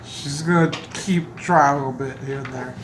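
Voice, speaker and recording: A woman playing a tabletop roleplaying game, chatting playfully about her character. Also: normal speed. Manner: playful, lively, breaks into high character voices. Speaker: player. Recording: echoey room, background hiss.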